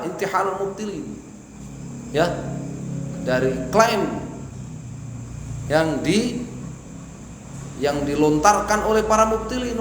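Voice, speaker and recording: A man giving a mosque study lecture, reading Arabic phrases and explaining each in Indonesian, asking the audience questions and answering them himself. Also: high-pitched. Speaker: religious teacher. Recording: echoey hall with faint hiss.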